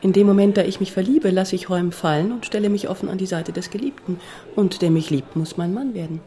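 An actress playing a proud, principled young countess, formal, frank and self-assured, in a German radio drama. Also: female voice, medium pitch, brisk, warm tone, lightly acted. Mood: proud, resolute.

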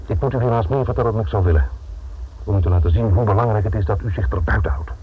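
A man's voice: on the low side, racing, close to an everyday voice. A man acting in a radio play as a stern, sardonic police inspector, speaking formally in clipped, sceptical phrases. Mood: stern warning. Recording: tinny, band-limited old radio recording with noise.

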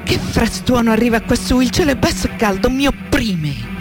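A woman playing a lonely, searching young girl in a poetic radio drama, speaking intimately in a husky voice. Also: a little high, sing-song, normal speed, over music. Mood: oppressed. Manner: intimate, lyrical, questioning.

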